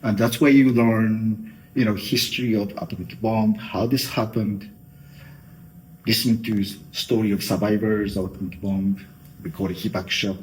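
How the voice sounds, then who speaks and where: Soft-voiced middle-aged Japanese man. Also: on the low side, fairly even intonation, normal speed, slight background noise, low-quality recording. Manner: thoughtful, with many fillers like "you know". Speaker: guest. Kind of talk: interview answers.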